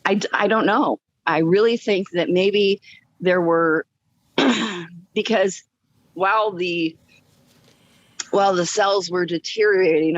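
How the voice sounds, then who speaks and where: A woman's voice: lowish voice, no room sound. Upbeat woman on dull, lo-fi audio. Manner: upbeat, chuckles as she talks. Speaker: podcast guest. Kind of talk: personal storytelling in a casual interview.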